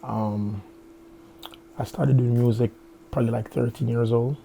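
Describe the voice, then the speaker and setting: A man who is a music producer, talking in a relaxed, loose way in an interview. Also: mid-range voice, a little slow, gravelly, slight background noise, rough recording. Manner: relaxed, loose slangy talk. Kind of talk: casual interview.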